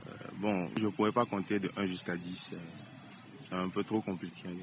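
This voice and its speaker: A man answering a street interview, hesitant and halting in his replies. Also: deep voice, little pitch movement. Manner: hesitant, with restarts. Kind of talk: vox pop answer. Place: street outdoors. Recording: noisy outdoor street recording.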